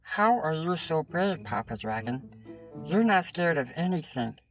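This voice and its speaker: A man's voice: fairly high pitch, very animated, measured pace, soft background music, poor audio. A man voicing an earnest young dragon in a storybook. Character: young dragon growing brave.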